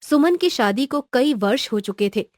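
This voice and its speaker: A woman's voice: high voice, animated, normal speed, no echo, clean studio sound. A woman reading a scripted moral story as narrator.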